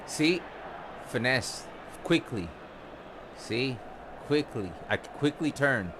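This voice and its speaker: A man's voice: medium pitch, lively intonation, takes it slowly, noisy background. A young man from Costa Rica, a gaming streamer giving casual live commentary and tips while he plays. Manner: casual and chatty, coaching the viewers. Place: home-style room, not his own home.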